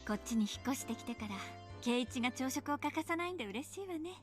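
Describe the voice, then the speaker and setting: A woman playing a cheerful, doting mother in a visual novel, gentle and chatty. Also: high voice, expressive, rapid-fire, soft background music. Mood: cheerful, caring. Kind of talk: Japanese visual novel voice acting.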